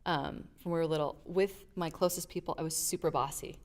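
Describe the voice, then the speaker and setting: A middle-aged woman, a guest on an interview podcast, telling stories from her life in an animated way and acting out the voices in them. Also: deep voice, a little fast. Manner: animated storyteller, acts out quotes. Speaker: guest, leadership advisor. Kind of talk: casual interview storytelling.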